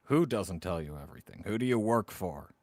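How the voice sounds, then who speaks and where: A man's voice: lowish voice, lively intonation, brisk, a little mellow, dry recording, studio quality. A man playing a tabletop roleplaying game, talking casually in and out of character.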